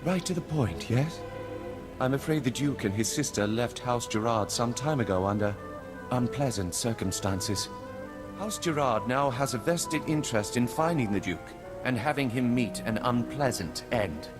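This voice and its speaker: The commanding head of a noble house in a video game, voiced by a man. He speaks with formal, measured courtesy at a medium pitch and quiet authority. Soft music runs underneath. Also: natural voice.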